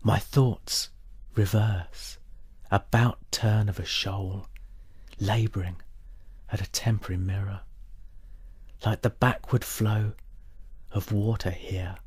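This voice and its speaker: Very slow, husky, low-voiced man reading poetry. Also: fairly even intonation, a little mellow, dry recording. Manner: measured, solemn, deliberate pauses between short phrases. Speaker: poet reading own work. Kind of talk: poetry reading, dramatic monologue.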